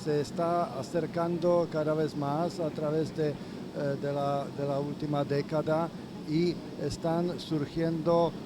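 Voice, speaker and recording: A man speaking on a conference panel, halting and full of hesitations. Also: a little high, little pitch movement, very noisy. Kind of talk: panel remarks. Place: conference venue.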